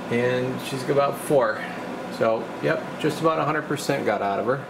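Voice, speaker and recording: Gravelly man talking through a repair. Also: mid-range voice, background noise. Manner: informal, halting, thinking aloud while working. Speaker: radio repair technician presenting his work. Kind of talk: casual hands-on repair walkthrough. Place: indoor electronics workbench.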